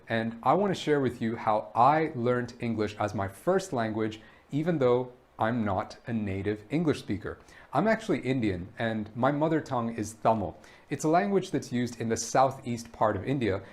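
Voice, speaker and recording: A man from Brunei, an English teacher, explaining his learning method to camera in a clear, steady way with rhetorical questions. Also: mid-range voice, moderate pace. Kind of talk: direct-to-camera course introduction.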